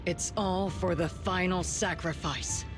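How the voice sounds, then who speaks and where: An actress voicing a cold, scheming female colonel who leads a cult in secret, speaking in measured, accusing lines in a game cutscene. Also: on the low side, fairly even intonation, music in the background, natural voice. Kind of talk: video game cutscene dialogue.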